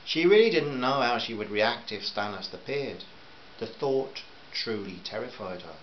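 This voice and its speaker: A man's voice: medium pitch, dull audio, a little hiss or hum. A man reading his own novel aloud as a serialized audiobook, in a plain storytelling manner. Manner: plain storytelling, warm sign-off to listeners.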